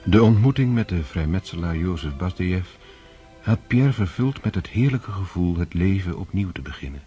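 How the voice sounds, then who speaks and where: A man's voice: low voice, expressive, moderate pace, mellow. A man reading scripted narration for a radio drama as its storyteller. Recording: dull lo-fi radio recording, music behind. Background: music.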